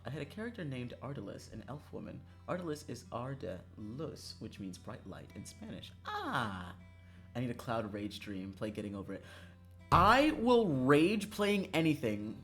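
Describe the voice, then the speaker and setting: Excitable sweary streamer chatting over a game, voice jumps all over, bit of music behind. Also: mid-range voice. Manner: excitable, mock-angry outbursts between calm asides. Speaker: livestreamer. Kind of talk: casual chat while playing a visual novel. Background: background music.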